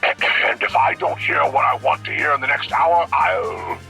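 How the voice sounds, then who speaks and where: Gravelly, forceful, blustering president. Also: male voice, a little high, steady tone, measured pace, faint music behind, full character voice. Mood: irritated, blustering. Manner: forceful, pompous, fumbling. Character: blustering, bossy male president on the telephone. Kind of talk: audio drama acting.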